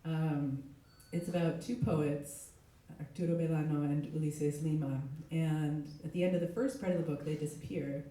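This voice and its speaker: A middle-aged woman, a literary translator, reading aloud at a live event, her voice hoarse with a cold. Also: low voice, steady tone, normal speed, hardly any pauses, dark tone. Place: echoey indoor event space. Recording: very echoey room, distant mic.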